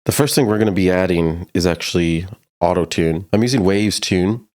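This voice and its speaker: A man's voice: sits low, normal speed, darkish tone. A man narrating a casual music production tutorial, explaining things step by step in an informal way.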